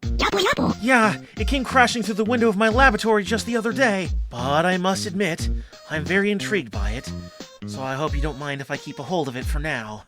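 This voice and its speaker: A man's voice: sits high, a little fast, lightly acted. Man putting on a pompous eccentric inventor, music behind. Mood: proud. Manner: pompous, wordy. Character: proud, eccentric male inventor showing off gadgets. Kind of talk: fan cartoon overdub.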